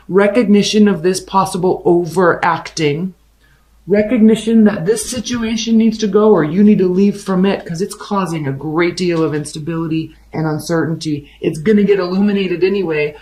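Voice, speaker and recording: A tarot reader giving a casual love reading, the voice raspy and hoarse from overuse, with a blunt, emphatic pep-talk delivery. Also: mid-range voice, darkish tone, low-quality recording.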